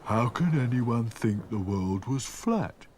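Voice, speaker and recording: A man voicing a slow, literal-minded and puzzled male rag doll in a cartoon. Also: mid-range voice, animated, a little mellow, slight background noise.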